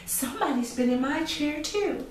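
Storybook mother bear, played by a woman: concerned, measured, lilting upward, distant and roomy. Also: high-pitched, lively intonation, pitched above the performer's own voice. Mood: mildly troubled. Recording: roomy echo, distant mic, faint noise.

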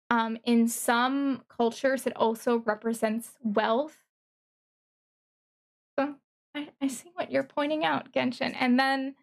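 A woman streamer talks through her reaction in a casual, halting way. Her voice sits a little high, and she takes her time, thinking aloud. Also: vocal fry. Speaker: streamer reacting to a game trailer.

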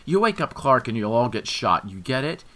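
A man's voice: medium pitch, very animated, moderate pace, a bit bright, voice pushed up. A man voicing an upset young woman who pleads through tears. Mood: shouting. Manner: pleading, tearful.

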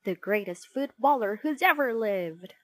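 A woman puts on a thrilled football commentator for a storybook, calling the match with huge excitement. The pitch is high and leaps about wildly, in a dry, close recording. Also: unhurried, dry recording. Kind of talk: sports commentary.

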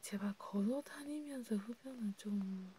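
A woman whispering in a breathy, hushed, intimate way, chatting casually as in an ASMR roleplay. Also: mid-range voice, a little slow. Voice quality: breathy, mostly unvoiced whisper. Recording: steady background noise.

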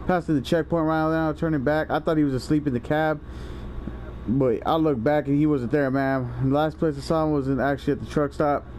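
Rambling, slangy man with a higher-pitched voice. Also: steady tone, a little fast, background noise. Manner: rambling, foul-mouthed, stammering. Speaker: prank caller and video host. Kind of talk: prank phone call in casual slangy talk.

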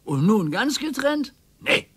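A man's voice: very high-pitched, very animated, takes it slowly, a bit bright, husky, dry recording, heavily acted. An older man playing a needy, sentimental retired husband in a radio play, plaintive and nostalgic. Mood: pleading.

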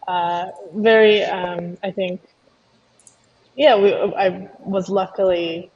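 Sex: woman. Style: casual interview talk, thoughtful and halting. Pitch: lowish. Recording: muffled video-call audio with faint hiss. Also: steady tone, a little mellow. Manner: thoughtful, hesitant with many ums. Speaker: guest, taiko performer.

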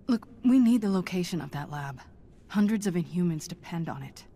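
A woman's voice: on the low side, animated, a little fast, mellow, a little hiss or hum. A woman playing a tough but reassuring spy hero in a video game, wry and no-nonsense. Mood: determined. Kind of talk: video game cutscene acting.

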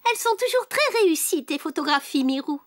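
A woman's voice: very high voice, very animated, a little fast. A woman voicing a girlish, fashion-minded paper doll in a French children's cartoon dub. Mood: chatty. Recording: music behind the voice.

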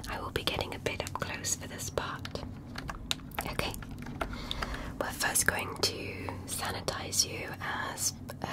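A woman softly whispering an ASMR medical roleplay as a gentle alien examiner, speaking slowly and reassuringly up close. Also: rough recording.

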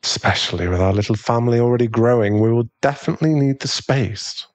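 A man playing an affectionate, aristocratic British werewolf fiancé in an ASMR boyfriend audio roleplay, speaking in a gentle, polite, unhurried way. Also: medium pitch, close to an everyday voice. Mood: businesslike, calm.

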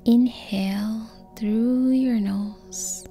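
A woman guiding a sleep meditation in a soft, breathy, near-whispered voice, calm and gentle, in short phrases with long pauses. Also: fairly high pitch, faint music behind. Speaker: yoga nidra meditation guide. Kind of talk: slow guided sleep meditation.

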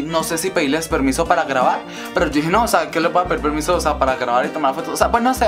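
A teenage boy from Colombia with a Colombian accent, chatting to camera in his bedroom and telling a rambling story with many fillers. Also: on the high side. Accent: Colombian Spanish. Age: teens. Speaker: YouTuber host. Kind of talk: casual vlog chat to camera. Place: bedroom, indoors.

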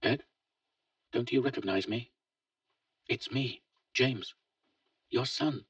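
A man voicing a grieving grown son who speaks quietly and haltingly, with a husky voice. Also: mid-range voice, dry recording, voice pushed up. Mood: pleading. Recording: dull lo-fi, distant mic.

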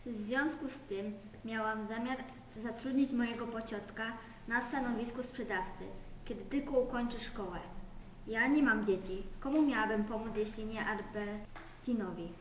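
Earnest aunt played by a woman, rather high. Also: moderate pace, lightly acted. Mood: earnest pleading. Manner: earnest, pleading. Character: kind-hearted, aggrieved shopkeeper aunt, childless. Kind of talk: amateur school radio play acting. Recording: echoey room with background noise.

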